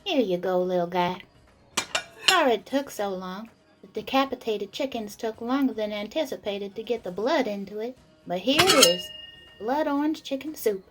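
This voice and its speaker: A woman's voice: mid-range voice, lively intonation, moderate pace, over loud music, lightly acted. A woman playing a cheery, obliging tavern server in an audio drama, chirpy and friendly. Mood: cheerful.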